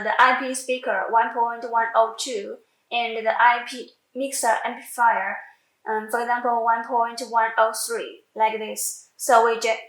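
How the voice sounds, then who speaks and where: A woman who speaks English as a second language, giving a halting step-by-step software tutorial with repeats and self-corrections. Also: on the high side. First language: not English. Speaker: product tutorial presenter. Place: indoor room, demonstrating at a computer screen. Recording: echoey room sound.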